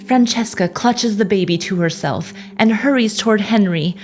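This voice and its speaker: A woman's voice: medium pitch, moderate pace. A woman narrator telling a scripted story theatrically for an audio drama. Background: music.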